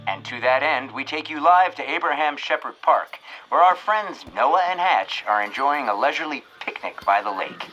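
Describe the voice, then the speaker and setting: Showy old-time radio announcer. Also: male voice, mid-range voice, animated, moderate pace, few pauses, sharp tone, muffled recording, soft background music. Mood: serene, savoring. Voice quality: theatrical announcer delivery. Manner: grandiose, folksy.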